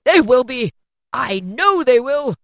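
A woman's voice: sits high, expressive, normal speed, sharp tone, no echo, clean studio sound, pitched above the performer's own voice. A woman voicing a giddy, overjoyed old man, a reformed miser in a storybook, with exclamatory, whooping, theatrical delivery. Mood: ecstatic relief. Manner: exclamatory, whooping, laughing between phrases. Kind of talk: theatrical storybook character reading.

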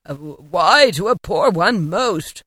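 A woman reading an audiobook and voicing an old male miser who asks hesitant, halting questions. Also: on the low side, expressive, a bit bright, slightly rough, dry recording, clean studio sound, full character voice. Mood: questioning. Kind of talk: audiobook character voice.

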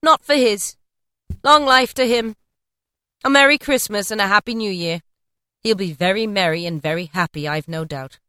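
A woman voicing an indignant, sharp-tongued mother who scorns her husband's employer. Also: mid-range voice, moderate pace, no echo, natural voice. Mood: sarcastic.

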